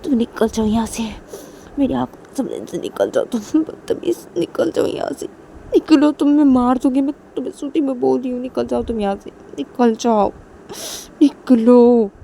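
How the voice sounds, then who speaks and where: A woman voicing a furious young woman who shouts and sobs at the man she is ordering out. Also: medium pitch, moderate pace, slight background noise, lightly acted. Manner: shouting, pleading, tearful.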